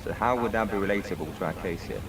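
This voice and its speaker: A man doing improvised courtroom questioning in a halting, formal manner. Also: deep voice, noisy background.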